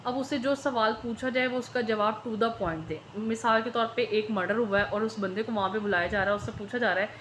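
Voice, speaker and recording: Female law tutor, Pakistani accent, slightly high pitch, informal explanatory lecture with English terms mixed in, some background noise. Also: fairly quick, few pauses, fairly bright tone. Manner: code-switches between Urdu and English legal terms. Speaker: law teacher.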